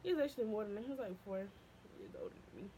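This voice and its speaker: A young woman, telling a personal story to camera in a casual, animated way, jumping high when she quotes herself and dropping low and quiet when upset. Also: fast, slight background noise, low-quality recording. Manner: animated, voice leaping high when quoting her past self. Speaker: vlogger.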